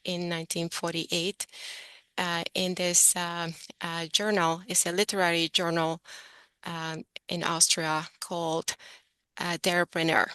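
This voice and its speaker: Flat-toned, bright woman presenting. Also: on the low side, no echo. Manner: careful, explanatory, self-correcting. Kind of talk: online presentation with slides.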